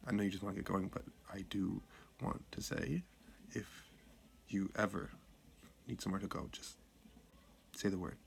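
An actor playing an awkward, tender middle-aged father who speaks haltingly and earnestly in a radio play. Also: sits low, gravelly, a little hiss or hum. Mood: regretful, tender. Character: awkward, tender, apologetic father of grown children. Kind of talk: radio play acting, heartfelt spoken message.

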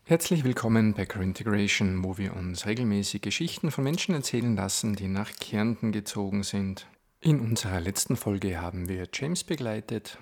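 Low gravelly host with formal delivery. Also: male voice. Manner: measured, formal, even delivery. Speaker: podcast host. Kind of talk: scripted introduction.

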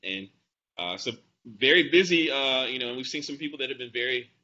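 A man's voice: mid-range voice, lively intonation, fairly quick, sharp tone. A man in his forties from the American South, a doctor answering interview questions conversationally. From Atlanta, Georgia, United States. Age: 40s. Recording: slightly muffled with faint noise.

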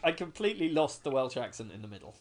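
A man chatting casually with fellow comedy performers, making quick self-deprecating remarks. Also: medium pitch, very animated, a crowd in the background. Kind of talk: casual banter.

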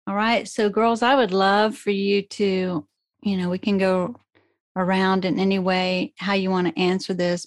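A woman hosting a faith-based podcast, speaking gently as she introduces her guests. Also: mid-range voice. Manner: gentle, reads a listener's letter aloud. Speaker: podcast host.

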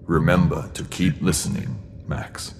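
A man's voice: low voice, level tone, measured pace, darkish tone. A man playing a stern, cryptic mentor in a sci-fi audio drama, grave and admonishing. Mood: curt, guarded. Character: stern, cryptic mentor figure guarding a teenage boy. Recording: long hall reverb, clear background noise.

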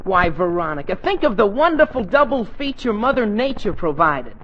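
A man's voice: high-pitched, sing-song, normal speed. An actor playing an eager, dreamy teenage boy in a 1940s radio comedy, boyish and earnest, on a muffled, hissy old broadcast.

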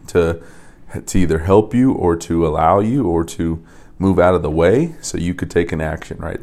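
A young man from Las Vegas hosting a podcast, telling stories in a loose, rambling way with repeated words and soft laughs. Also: deep voice. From Las Vegas, USA. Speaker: podcast host and coach. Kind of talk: casual storytelling monologue.

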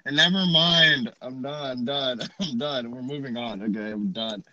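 A man's voice: medium pitch, normal speed, bright, forceful tone, dry recording, muffled recording. A young man from Texas hosting a casual podcast chat, playful and joking, laughing often. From Dallas, Texas. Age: young adult. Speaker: podcast host.

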